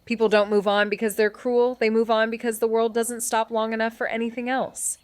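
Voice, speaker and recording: A woman's voice: fairly high pitch, little pitch movement, fairly quick, clear, resonant tone, dry recording, lightly acted. A woman playing a young sleuth in a noir audio drama, speaking in a subdued, reflective, uneasy way to a friend.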